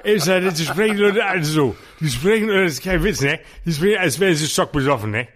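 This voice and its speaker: A man's voice: fairly high pitch, lively intonation, a little fast, crowd noise. A man co-hosting a casual podcast chat, telling stories and chatting freely. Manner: chatty, storytelling, many fillers. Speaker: co-host.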